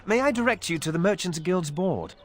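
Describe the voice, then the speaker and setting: A man's voice: high voice, expressive, a little fast. A man playing a courteous, formal merchant guild townsman in a fantasy video game. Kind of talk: video game character dialogue.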